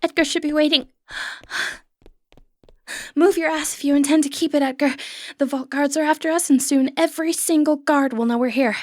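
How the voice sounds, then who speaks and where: A woman playing a sly, confident, flirty thief in a fantasy roleplay, playful and cheeky with breathy asides. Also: high-pitched, steady tone, moderate pace, pauses often, no echo, studio quality, close to an everyday voice. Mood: panicked, urgent. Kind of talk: scripted fantasy ASMR roleplay, addressing the listener.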